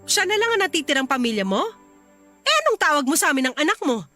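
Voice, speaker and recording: A woman playing an expressive young wife and mother in a radio drama, emphatic and full of pointed questions. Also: high voice, fast, exaggerated voice. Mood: exasperated, reproachful. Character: exasperated young wife and mother, teasing then reproachful. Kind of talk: radio drama acting in dialogue. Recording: music under the voice. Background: background music.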